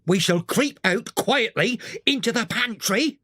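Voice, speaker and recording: A reader voicing a cheery, excitable male mole in a storybook. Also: mid-range voice, measured pace, no echo, studio quality, pitched above the performer's own voice. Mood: earnest. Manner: exclamatory, eager storytelling.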